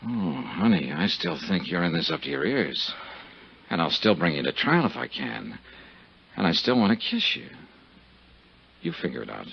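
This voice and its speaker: An actor playing a tough, wisecracking investigator in 1950s radio detective drama, blunt and sardonic, with a husky voice. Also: male voice, sits low, very animated, moderate pace, pauses often, full character voice. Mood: wry, intimate. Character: tough, brash, wisecracking American male investigator. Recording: dull 1950s radio broadcast recording.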